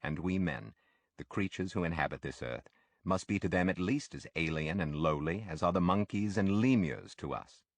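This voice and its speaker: A man reading a literary audiobook as a narrator, with measured, formal and deliberate phrasing. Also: deep voice, dry recording.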